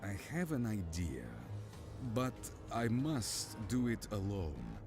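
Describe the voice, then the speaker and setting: A man playing a solemn, compassionate mentor in an audio drama, speaking in a measured, weighty way. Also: lowish voice, huge pitch swings, exaggerated voice. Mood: solemn, resolute. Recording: loud music under the voice.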